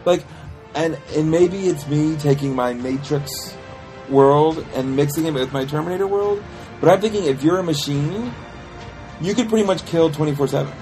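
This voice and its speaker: A man co-hosting a casual film review chat, explaining things in an animated, halting way. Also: fairly high pitch, soft background music, poor audio. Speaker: podcast co-host reviewing films.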